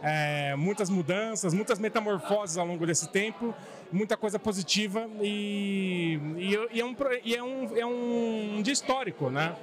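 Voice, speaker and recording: High-voiced man amid crowd chatter. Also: measured pace. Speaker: interviewed guest. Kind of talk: spontaneous on-camera interview. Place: indoor hall at community event. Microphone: handheld interview microphone held by interviewer. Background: clear crowd murmur.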